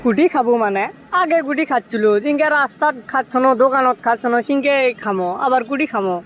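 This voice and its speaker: A man voicing a cheeky young village friend in a comic cartoon, teasing and glib, with a Bogura accent and a pitched-up effect. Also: fast, fairly bright tone, faint music behind. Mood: bossy. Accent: Bogura, northern Bangladesh. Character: cheeky young village buddy, teasing self-styled matchmaker.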